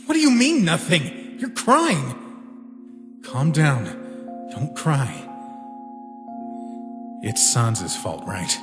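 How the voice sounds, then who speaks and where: A man voicing a tender, protective, excitable young furry prince in a comic dub. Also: on the high side, extremely slow, long pauses, exaggerated voice. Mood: concerned, indignant. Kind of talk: dramatic comic dub voice acting. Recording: long reverb with music behind.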